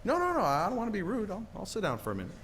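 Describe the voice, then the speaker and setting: A man's voice: sits high, wildly swooping pitch, rapid-fire, a bit bright, slight background noise, full character voice. An actor playing a restless, stubborn young small-town man, stammering and bursting out in a 1940s-style radio play. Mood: awkward, defensive. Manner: stammering, hesitant, then bursting out.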